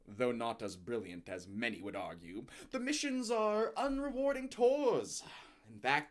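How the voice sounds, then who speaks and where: A man giving a dramatic, grandiose reading of scripted text, with emphatic swells and drops in his delivery. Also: on the high side. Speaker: narrator reading out a user review.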